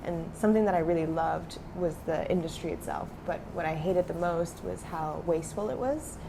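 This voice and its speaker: A woman's voice: lowish voice, normal speed, gravelly, noisy background. A young adult woman who grew up in Switzerland, talking casually as a startup founder in an interview, with many fillers.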